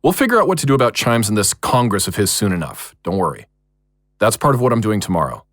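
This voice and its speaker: An actor playing a protective, level-headed team leader in an audio drama, calm, firm and reassuring. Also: male voice, on the low side, fast, no room sound, studio quality. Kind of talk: naturalistic audio drama acting.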